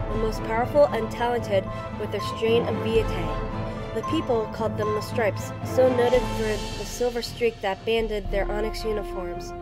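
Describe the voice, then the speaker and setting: Woman narrating dark fantasy over music. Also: fairly high pitch. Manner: serious, storytelling delivery. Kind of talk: dramatic scripted narration of a dystopian fantasy intro. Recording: music behind the voice. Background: background music.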